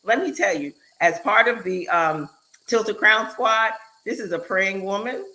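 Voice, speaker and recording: Host, female, bright tone, animated and emphatic, quick bursts. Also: medium pitch, low-quality recording. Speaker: show host and speaker. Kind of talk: casual chat on a live online show.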